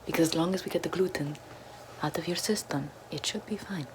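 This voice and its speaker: A young woman doing a parody ASMR role-play, whispering in a deadpan, mock-mystic way into a close camera in a small bedroom. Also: deep voice, fairly quick, a little hiss or hum. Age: young adult. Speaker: ASMR creator. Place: small bedroom with floral backdrop, indoors.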